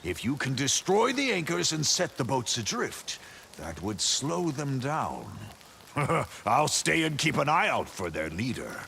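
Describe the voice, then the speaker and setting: An actor voicing a chivalrous, pompous but bumbling good-natured knight in a video game, with florid, theatrical speech and flustered hesitations. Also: male voice, mid-range voice, huge pitch swings, measured pace, gravelly, pushed and bright, a little hiss or hum, heavily acted. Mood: eager.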